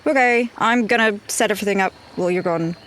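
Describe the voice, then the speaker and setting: A woman's voice: medium pitch, fast talker, fairly bright tone, slight background noise. A woman playing a tabletop roleplaying game, talking casually in character as in everyday conversation.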